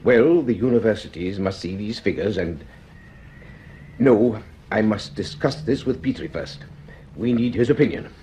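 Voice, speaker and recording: An actor playing a keen middle-aged astronomer in a vintage radio drama, reasoning emphatically step by step. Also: male voice, lowish voice, normal speed, warm tone, a little hiss or hum, close to an everyday voice. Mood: urgent, decisive. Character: keen senior male Scottish astronomer, thoughtful and decisive.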